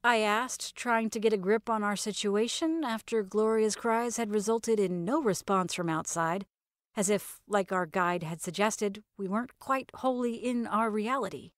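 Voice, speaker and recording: A woman reading first-person comedic fiction in a wry, sardonic, expressive storytelling manner. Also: mid-range voice, normal speed, no room sound, studio quality. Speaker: narrator of fiction podcast.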